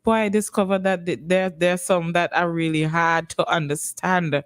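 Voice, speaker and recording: A woman with a Vincentian accent hosting a casual morning radio chat, bubbly and quick to laugh. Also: on the low side, steady tone, clean tone, no echo, studio quality. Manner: bubbly, laughs often, exclamatory. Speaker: radio host.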